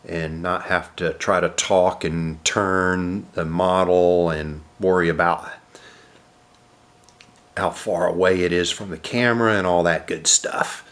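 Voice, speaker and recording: Slow-talking man with a stuffy nasal cold. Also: low voice, fairly even intonation, a bit bright. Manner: halting, many uh fillers and throat clearing. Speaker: model airplane collector. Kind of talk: casual unboxing narration.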